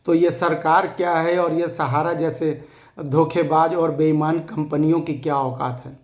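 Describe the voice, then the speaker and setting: A man with a hoarse, scratchy throat giving an impassioned, defiant address to fellow depositors, repeating phrases for emphasis. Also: fairly high pitch, steady tone, unhurried, a little mellow, echoey room. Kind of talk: impassioned rallying address to followers.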